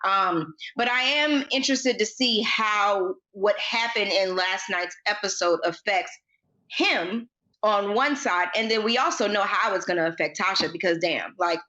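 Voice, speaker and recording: A woman's voice: mid-range voice, bright, forceful tone. A woman co-hosting a casual, conversational recap chat, recorded with slightly muffled sound. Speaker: podcast co-host. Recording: slightly dull, band-limited audio.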